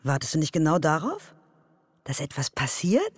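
Radio drama: needling, insinuating female inner voice, husky with very mobile intonation. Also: low-pitched, huge pitch swings, normal speed, no room sound, clean studio sound, heavily acted. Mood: provoking. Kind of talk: German radio drama acting.

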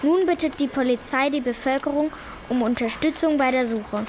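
A woman's voice: high-pitched, normal speed, a little hiss or hum, close to an everyday voice. A female performer playing an earnest, insistent young schoolgirl in a German children's radio play, blunt and worried. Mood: reading aloud. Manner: blunt, insistent, hesitant when unsure.

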